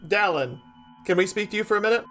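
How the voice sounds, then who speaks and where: A man's voice: sits high, fast, sharp tone, soft background music. A man playing a tabletop role-playing game, talking casually and asking questions as his character.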